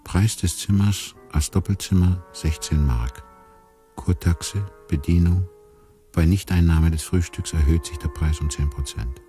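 An actor playing a bewildered amnesiac man who muses wryly and deliberately in a radio drama monologue. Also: low-pitched, mellow, soft background music. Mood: musing, bewildered. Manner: deliberate, musing, wry. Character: bewildered amnesiac man narrating his inner thoughts.

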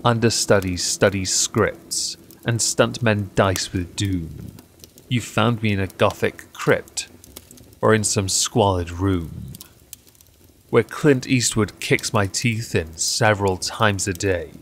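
Male poetry reader, lowish voice, very unhurried and theatrical delivery, noticeable background noise. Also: a bit bright. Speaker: poetry reader and channel host. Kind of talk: measured dramatic poetry reading.

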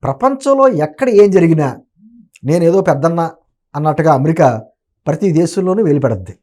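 A man's voice: on the high side, expressive, fairly quick, darkish tone. A man giving opinionated political commentary as an interview guest, emphatic and argumentative, often posing rhetorical questions.

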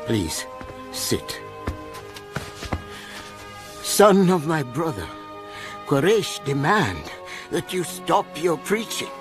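A man playing a dignified, loyal old Arabian clan elder in an animated film, grave and measured. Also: a little high, music in the background, exaggerated voice. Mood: troubled, pleading.

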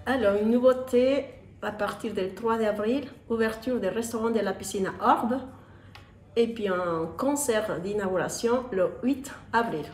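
A woman's voice: fairly high pitch, unhurried, roomy sound, faint music behind. A woman in her forties, a restaurant manager, inviting viewers to monthly concerts, filmed in her dining room. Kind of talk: promotional invitation to camera.

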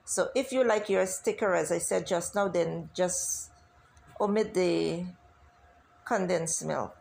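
Gravelly-voiced woman teaching a bake. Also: lowish voice, takes it slowly, darkish tone, slight background noise. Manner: chatty, instructive, addresses viewers as guys. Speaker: cooking channel host. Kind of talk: casual cooking tutorial voice-over.

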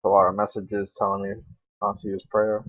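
Young male gamer, low voice, grumbly off-the-cuff play commentary with swearing, dull dry recording. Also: completely flat delivery, fairly quick, a bit bright, breathy edge, no room sound. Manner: informal, grumbling, frequent swearing. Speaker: gaming video creator. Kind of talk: casual gameplay commentary.

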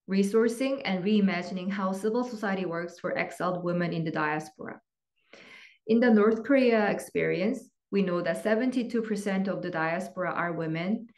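A woman moderating an online event, reading measured, formal opening remarks over slides. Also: fairly low pitch, darkish tone.

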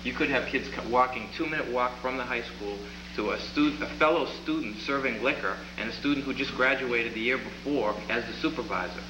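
A man in his thirties, a town councilor, speaking at a table mic in deliberate council remarks, often repeating words as he reasons. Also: mid-range voice. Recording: echoey chamber with loud hum.

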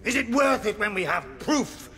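An actor playing a zealous, pompous prison chaplain in a radio drama, with a declamatory way of preaching. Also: male voice, high-pitched, expressive, moderate pace, bright, forceful tone, full character voice. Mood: stern preaching. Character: fire-and-brimstone prison chaplain, zealous, pompous, troubled by doubt.

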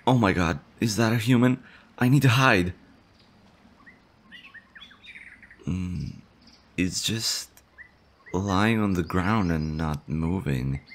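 Nervous male slime monster, played by a man, stammers, anxious, low voice, soft birdsong behind. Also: slow. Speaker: voice actor. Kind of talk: audio roleplay.

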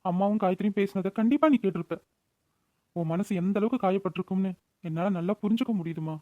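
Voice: mid-range voice, fast talker, no room sound, phone-quality sound, natural voice. An actor playing an earnest, put-upon grown elder brother in a cartoon, pleading and reproachful. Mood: anguished, apologetic. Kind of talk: Tamil cartoon voice-over acting.

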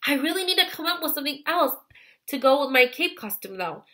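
A woman's voice: sits high, very animated, a little fast, a bit bright, voice pushed up. A woman voicing a shy, earnest young schoolgirl in a children's storybook, speaking politely. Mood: thoughtful.

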